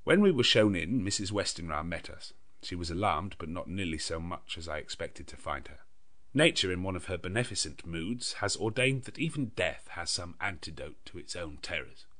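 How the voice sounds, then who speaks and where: Very deep-voiced male audiobook narrator. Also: expressive, a bit bright. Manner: measured, literary storytelling. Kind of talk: scripted narration of a gothic novel.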